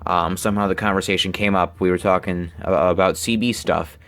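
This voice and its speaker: Young guy doing a casual gadget vlog in his room, lowish voice, kinda halting, pretty dry sound. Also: fairly even intonation, fairly bright tone, no room sound. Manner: halting, repeats words. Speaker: hobbyist presenter. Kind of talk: casual product show-and-tell vlog. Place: bedroom, indoors. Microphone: handheld camera, close behind it.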